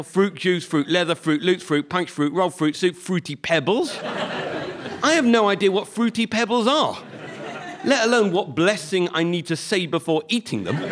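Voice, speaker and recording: A man with a Liverpool accent delivers a stand-up monologue in a wry, deadpan way. His voice sits a little high and swings widely in pitch. Noise is clearly audible behind him. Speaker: comedian. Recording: live recording with audience noise.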